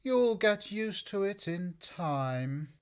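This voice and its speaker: A woman's voice: on the low side, expressive, takes it slowly, clear, resonant tone. A woman playing a languid, sleepy, curt and contrary caterpillar in a full-cast audiobook. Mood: drowsy.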